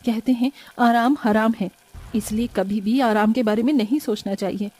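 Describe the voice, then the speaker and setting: A woman's voice: on the high side, steady tone, normal speed, darkish tone, lightly acted. A woman voicing an overworked, long-suffering young housewife in a cartoon moral story, plaintive and dutiful. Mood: weary, complaining.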